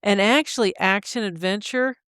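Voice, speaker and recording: West texas lady gabbing about movies, blunt takes, smooth clear voice. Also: medium pitch, normal speed, a bit bright, no echo, studio quality. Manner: outspoken, blunt reactions. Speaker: co-host. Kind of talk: casual movie chat.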